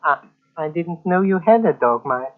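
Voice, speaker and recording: Man as timid high-voiced stammering servant, tinny radio. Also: animated, moderate pace, natural voice. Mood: nervous. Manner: stammering, hesitant. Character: timid, flustered, deferential servant. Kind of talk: 1940s radio drama acting. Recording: tinny old radio recording.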